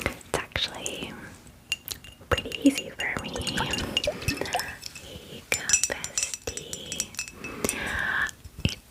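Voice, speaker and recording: A woman voicing a bubbly, friendly female dragon in an ASMR fantasy roleplay, chatting in a soft, breathy, girly whisper with casual filler words. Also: close to an everyday voice. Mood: chatty, wistful, then friendly, pampering.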